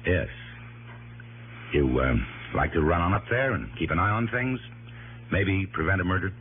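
A man's voice: low voice, animated, normal speed, phone-quality sound. An actor playing an earnest, worried businessman in a 1960s radio drama, speaking persuasively with slight hesitation. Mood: concerned. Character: earnest, worried businesslike man enlisting an investigator. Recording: thin vintage radio, faint noise.

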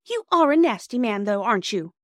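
A woman's voice: fairly high pitch, sing-song, brisk, fairly bright tone, dry recording, clean studio sound, pitched above the performer's own voice. A woman voicing an earnest, self-righteous little girl who speaks primly and frankly in a storybook reading. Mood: indignant.

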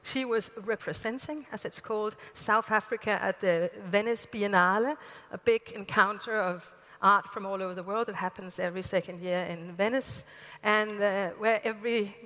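A woman leads a public talk from a stage, weighing her words and pausing to find them. Her voice is bright and crisp, and the hall adds a long ringing reverb. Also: medium pitch. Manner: thoughtful, searching for words. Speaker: moderator. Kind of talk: moderating a public conversation.

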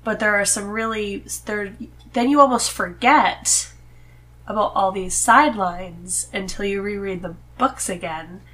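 A woman with a mid-pitched voice speaks as a guest in a casual conversation. She is informal and emphatic, explaining her opinions as if talking to someone she knows well. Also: measured pace, bright, forceful tone. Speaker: podcast guest.

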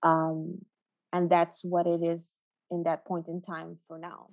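Young woman co-host, low flat delivery, soft, dry mic. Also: unhurried, highs cut off. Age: young adult. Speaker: podcast co-host. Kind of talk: casual reflective chat.

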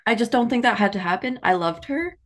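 A woman's voice: on the high side, lively intonation, fairly quick. A woman co-hosting a book podcast, chatting casually and candidly, peppering her sentences with "like". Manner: conversational, heavy use of "like", candid.

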